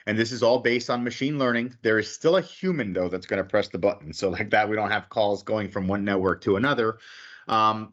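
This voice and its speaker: Male presenter, lowish voice, casual webinar demo delivery, filler-heavy, dull lo-fi audio. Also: a bit bright. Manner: casual, frequent "okay" and "um" fillers. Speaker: product presenter. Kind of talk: webinar product walkthrough.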